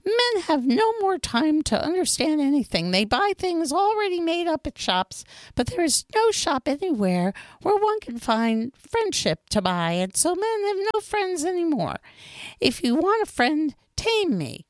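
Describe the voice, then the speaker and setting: A woman voicing a gentle, lonely male fox in a storybook reading, high and pleading in manner. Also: sing-song, unhurried, dry recording. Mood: earnest pleading. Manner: high, sing-song and pleading.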